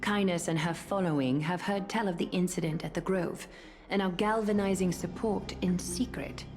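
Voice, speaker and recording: A woman performs a regal game ruler with a slightly low voice. She is formal, composed and authoritative, choosing each word with measure. Music plays clearly behind her. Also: normal speed, close to an everyday voice. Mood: grave. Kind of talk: dramatic game voice acting.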